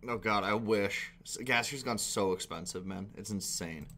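Young Canadian male reaction host, lower-pitched, casual, exasperated and foul-mouthed. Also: moderate pace, creaky, noisy background. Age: young adult. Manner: sweary, exasperated. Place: small indoor room at a computer.

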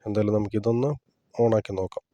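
A man's voice: on the low side, flat delivery, quick pace, mellow, no room sound, dull audio. A man giving a casual hands-on tutorial, explaining plainly step by step as a hobbyist presenter.

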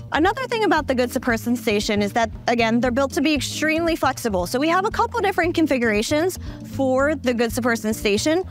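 A young woman presenting a product demo on a warehouse floor, with a polished, upbeat sales delivery. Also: on the high side. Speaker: company presenter. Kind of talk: scripted product demo tour.

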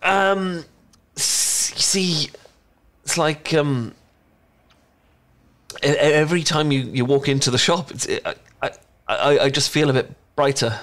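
A man with a British accent playing a shy, smitten young chocolatier in an ASMR roleplay, speaking in nervous, halting stammers. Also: a little high, fairly bright tone. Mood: nervous, flustered. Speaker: voice actor in an audio roleplay. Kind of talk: ASMR roleplay, one-sided intimate conversation.

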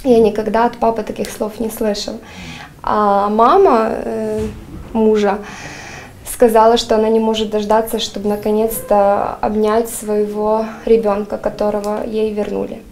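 Young woman, interview testimonial, dark low-key timbre, halting earnest delivery on a lapel mic. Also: level tone, a little hiss or hum. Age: young adult. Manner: earnest, hesitant with many fillers. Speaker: patient's wife giving a testimonial. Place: studio, plain dark backdrop.

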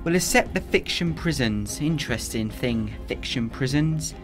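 A man's voice: medium pitch, expressive, normal speed, music in the background, lightly acted. A man acting a lonely, regretful immortal in an audio drama, gloomy and understated. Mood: gloomy, bored.

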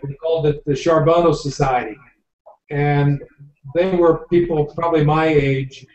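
A man's voice: on the high side, steady tone, unhurried, darkish tone. An older man who owns a winery, chatting at length with many fillers while hosting a live tasting over a video call from a home office. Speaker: winery owner. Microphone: video call webcam. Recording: dull, echoey webcam audio from far off.

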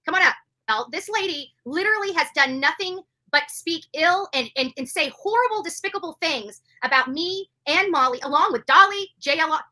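A woman's voice: very high voice, lively intonation, moderate pace, pushed and bright. A middle-aged woman hosting a livestream, giving indignant commentary in an emphatic way, repeating phrases for stress. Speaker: livestream host. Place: bedroom, indoors.

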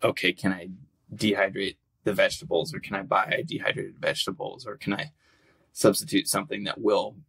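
A man who is an outdoor cookbook author, talking in a relaxed, rambling way as a podcast guest with lots of fillers. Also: deep voice, a little fast, vocal fry, slightly rough, rough recording. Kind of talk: casual interview talk.

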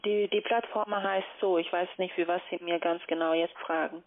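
A woman's voice: lowish voice, fairly even intonation, normal speed. Woman call centre agent, tinny phone line. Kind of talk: telephone sales pitch.

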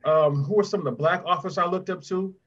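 Middle-aged male children's author, higher-pitched, encouraging and conversational with kids, on a dull-sounding video call. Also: brisk. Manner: conversational, addresses children directly by name. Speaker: guest children's author and mentor. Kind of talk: encouraging question-and-answer talk to children.

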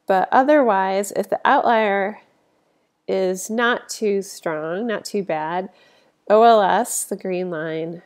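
A woman with a slight cold, sounding sniffly, narrating a statistics lecture over slides in an informal, conversational way with frequent fillers. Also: fairly low pitch.

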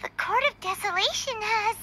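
A woman voicing a tiny, excitable childlike fairy companion in a video game, with a squeaky, shrill voice. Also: normal speed, a little hiss or hum. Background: faint game music.

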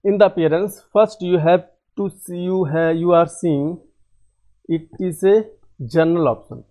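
A man walking through a software tutorial, halting and often repeating or correcting himself. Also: on the high side, low-quality recording. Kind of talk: screen-recorded software tutorial.

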